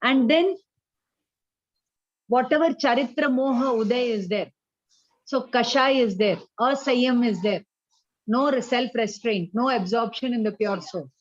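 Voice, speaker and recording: A woman with an Indian accent giving a spiritual class, explaining deliberately and checking that listeners follow. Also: a little high, no room sound, muffled recording. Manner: didactic, deliberate, checks understanding with questions. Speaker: religious teacher.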